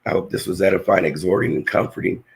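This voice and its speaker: Male Bible teacher, low, hoarse and gravelly, earnest slow verse reading. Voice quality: raspy, gravelly. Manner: slow, earnest scripture reading with halting restarts. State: hoarse, voice nearly lost. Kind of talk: scripture-reading lesson.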